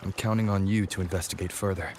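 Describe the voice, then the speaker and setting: A man playing a serious, guarded, level-headed hero in a video game, speaking in a measured, firm, matter-of-fact way. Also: sits low, fairly even intonation, noisy background.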